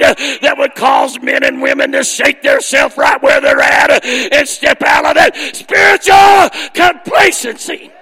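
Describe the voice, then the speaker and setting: High-pitched shouting preacher in a hall. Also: male voice, gravelly, slightly rough. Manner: punctuates phrases with "uh" and "amen". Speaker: Pentecostal Holiness preacher. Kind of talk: fiery revival sermon. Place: large indoor church service. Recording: echoey church hall, long reverb.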